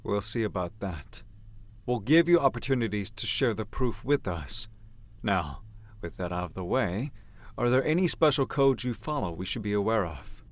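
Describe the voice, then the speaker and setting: A man acting as a gruff, domineering, businesslike henchman of a crime syndicate in an audio drama. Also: fairly low pitch, expressive, normal speed, natural voice. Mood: probing, businesslike. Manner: blunt, domineering, no-nonsense.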